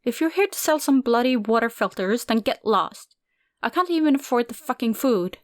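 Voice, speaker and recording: Woman putting on a loud, high, cursing fifties aunt, combative storybook voice, dry recording. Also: animated, moderate pace, dry recording, lightly acted. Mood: angry scornful. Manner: barking, cursing, scornful. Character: coarse foul-mouthed woman in her fifties, bitter and combative.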